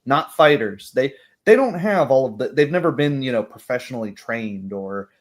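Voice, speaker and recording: A man's voice: medium pitch, expressive, moderate pace. A man talking casually as a game master after a session, thinking aloud in a rambling way. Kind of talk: casual post-game discussion.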